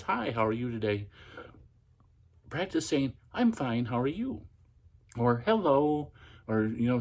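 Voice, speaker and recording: Man speaking in a soft, raised feminine voice. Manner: speaks in a practiced feminine voice, softened and quiet; pitch raised by tensing the throat. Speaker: podcast host. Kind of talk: casual instructional monologue.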